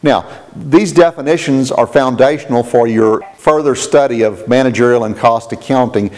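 A middle-aged man teaching accounting in a studio, explaining terms in a measured, clear scripted lecture. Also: medium pitch, a little mellow, rough recording. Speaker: accounting instructor. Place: studio with presentation screen.

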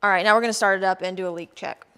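A woman casually narrating her own car maintenance in her home garage, chatty and quick to laugh off her own mishaps. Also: mid-range voice, fairly even intonation, fairly bright tone, clean tone. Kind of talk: casual how-to narration while working. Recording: echoey shop with long reverb.

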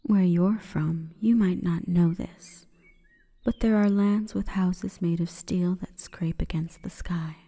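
Woman voicing a slow, whispering forest spirit. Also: fairly low pitch, steady tone, dark tone. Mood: solemn, hushed. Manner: slow, breathy, formal and commanding. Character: seductive, solemn female forest plant spirit. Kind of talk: whispered ASMR roleplay. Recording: long reverberant echo.

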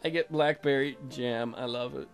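A man's voice: mid-range voice, steady tone, moderate pace, gravelly, soft background music. A man hosting a let's play, commentating with crude, profane jokes and reading dialogue aloud. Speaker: gaming video host.

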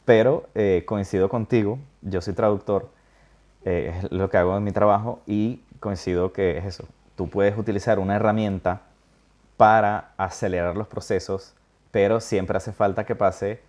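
A man hosting a casual interview, talking thoughtfully with many pauses, fillers and little check-ins. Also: on the low side, steady tone, darkish tone. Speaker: interview host. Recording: dull lo-fi sound.

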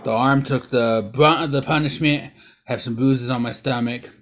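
A man's voice: mid-range voice, steady tone, normal speed, fairly bright tone. Rambling man chatting at home on camera. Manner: rambling and chatty, full of ums and uhs. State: bruised and sore after a fall. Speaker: vlogger. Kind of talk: casual haul and unboxing vlog. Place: living room at home. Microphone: camera close up at low angle.

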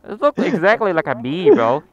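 A man's voice: high voice, wildly swooping pitch, normal speed. A man riding along at night, teasing his friend bluntly in slangy short replies. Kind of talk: casual chat while riding. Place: outdoors on a motorcycle on city streets at night. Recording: muffled intercom line with loud road noise.

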